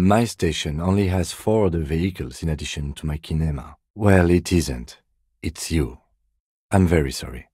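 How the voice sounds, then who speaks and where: A calm, restrained male police detective from a role-playing game. His voice is very low, soft-edged and husky. He speaks precisely and drily, recorded close with no room sound. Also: no echo. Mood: sober, frank, then quietly consoling. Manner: measured, restrained, precise, deadpan. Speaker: video game voice actor.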